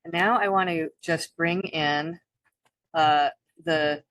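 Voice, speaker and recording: A woman co-hosting a spiritual talk, speaking haltingly with many restarts and soft laughs. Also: low voice, steady tone, no room sound. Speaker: co-host. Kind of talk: spiritual teaching chat.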